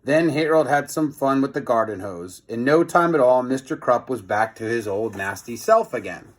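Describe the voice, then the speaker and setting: A man's voice: mid-range voice, normal speed. Man reading a children's storybook aloud. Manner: expressive, chatty commentary between passages. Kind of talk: animated storybook read-aloud with casual asides.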